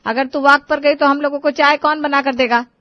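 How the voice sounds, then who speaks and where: Bossy old mother-in-law, performed by a woman, high voice, scolding, family story, lo-fi sound. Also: fairly even intonation, brisk, no echo, lightly acted. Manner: scolding, emphatic. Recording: dull lo-fi audio.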